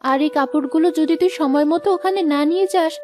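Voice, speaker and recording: A woman's voice: very high voice, quick pace, darkish tone, faint music behind. A woman playing a poor, loving village mother in a cartoon, plaintive and caring. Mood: careworn, resolute. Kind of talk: Bengali cartoon moral story dialogue.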